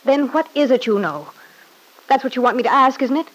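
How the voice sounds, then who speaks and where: A woman's voice: sits high, lively intonation, fairly quick, low-quality recording. An actress playing a proud, short-tempered young mother in an old-time radio soap opera, speaking in a cold, guarded, curt way. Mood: defiant, guarded.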